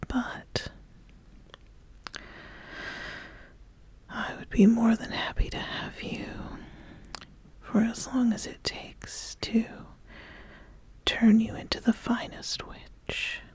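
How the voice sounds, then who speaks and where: The ambitious witch at a back-room card table in a fantasy ASMR roleplay, whispering very slowly and deliberately as she sizes up a newcomer, acted out by a woman. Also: fairly high pitch, breathy edge, full character voice. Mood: intrigued, ambitious. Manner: slow, deliberate, hushed, with lingering pauses and repeated phrases. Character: ambitious witch, intrigued, sizing up a would-be apprentice. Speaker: ASMR host playing a roleplay character.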